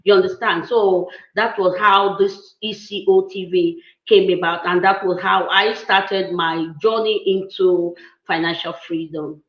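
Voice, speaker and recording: A woman's voice: lowish voice, steady tone, a little slow. A woman from Nigeria with a Nigerian accent, telling her personal story as an interview guest, emphatic and repeating her phrases. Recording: roomy echo, dull lo-fi sound.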